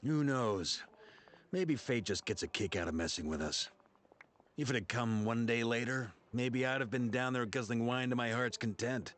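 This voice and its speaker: An actor playing a seasoned expedition commander in a fantasy game, wry, commanding and philosophical, with folksy turns of phrase. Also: male voice, mid-range voice, moderate pace, fairly bright tone, a little hiss or hum, natural voice. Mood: reflective.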